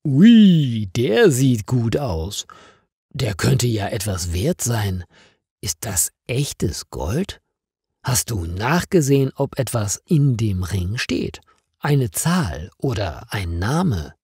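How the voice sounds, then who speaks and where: Man voicing a curious female colleague, lively intonation. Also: mid-range voice, slow pace, husky, no room sound, studio quality, heavily acted. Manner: inquisitive, practical. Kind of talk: storybook character dialogue.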